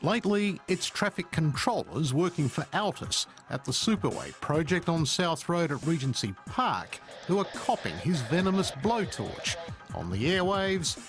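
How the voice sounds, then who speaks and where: Male Australian TV reporter, sensational scripted narration, animated pitch, music bed behind. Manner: sensational, punchy tabloid delivery. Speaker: TV news reporter. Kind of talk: scripted current-affairs narration.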